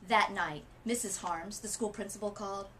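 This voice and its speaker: A woman reading a children's storybook aloud as a literacy coach, with expressive emphasis and dramatic pauses. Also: medium pitch, slight background noise.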